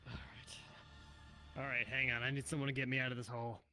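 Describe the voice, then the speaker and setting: A man's voice: medium pitch, little pitch movement, fairly quick, pushed and bright, soft background music. A man talking casually and practically in character during an online roleplay game, often muttering. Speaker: roleplay player.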